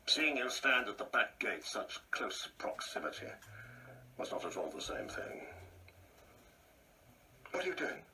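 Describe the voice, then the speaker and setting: A man's voice: mid-range voice, sing-song, a little slow, pauses often, pushed and bright, distant mic, a little hiss or hum, heavily acted, poor audio. An actor playing a proud, insecure older man in a radio play, speaking in a pompous, precise, theatrical way. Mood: rambling, defensive. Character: proud, insecure ageing man, bitterly reminiscing and taunting.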